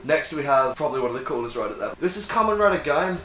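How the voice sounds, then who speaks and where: A man's voice: on the high side, expressive, quick pace, a crowd in the background. A man chatting casually with friends as host, giving sweary, opinionated takes. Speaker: host of a friends' tier-list discussion. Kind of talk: casual chat. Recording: roomy echo, clearly noisy background.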